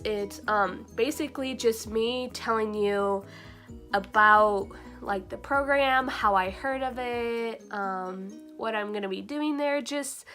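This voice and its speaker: A young woman vlogger talks in a chatty, excitable way, with a voice sitting a little high for her. A recent cold leaves her sounding slightly nasal. Light music plays softly behind her. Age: young adult. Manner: bubbly, chatty, frequent fillers and laughs. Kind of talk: casual vlog chat.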